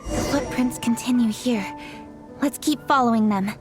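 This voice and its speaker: A woman's voice: on the high side, normal speed, music in the background, natural voice. A woman playing a dutiful young investigator, composed, polite and earnest, in an English game dub. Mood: intent.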